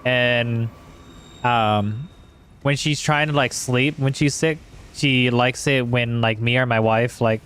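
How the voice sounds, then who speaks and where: Male game streamer, slightly hoarse, mid-pitched voice, casual, rambling and self-correcting. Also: fairly even intonation, slow pace, fairly bright tone. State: hoarse from singing. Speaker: game livestreamer. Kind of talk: casual chat while playing a game.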